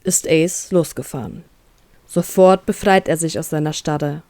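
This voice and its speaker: A woman's voice: deep voice, measured pace, a little mellow, dry recording, clean studio sound. A woman reading a scripted story aloud as its narrator, in a dramatic storytelling style. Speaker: narrator of fan fiction audio story.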